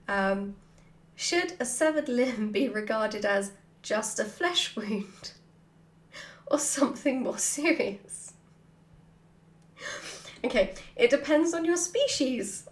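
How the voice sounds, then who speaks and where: A woman chatting casually and playfully as she answers questions from her followers, with an animated manner. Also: fairly high pitch. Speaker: writer hosting a Q&A. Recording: roomy, echoey sound.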